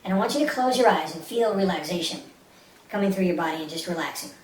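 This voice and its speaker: Woman, lowish voice, meditation instructor, soothing repeated cues, echoey room, distant mic. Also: lively intonation, fast talker. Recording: roomy, distant mic with faint hiss.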